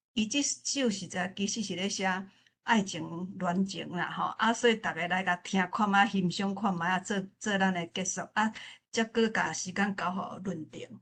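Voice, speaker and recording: Woman, Taiwanese accent, informal forum speaker, mid-pitched, halting with repeated phrases. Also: a bit bright, a little hiss or hum. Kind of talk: informal spoken remarks at a literature forum.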